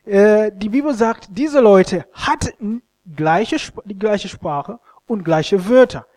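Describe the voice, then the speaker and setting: A man, a Luganda speaker, preaching a sermon in an expressive, halting way and often stumbling over words. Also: high-pitched. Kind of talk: sermon with scripture reading and commentary.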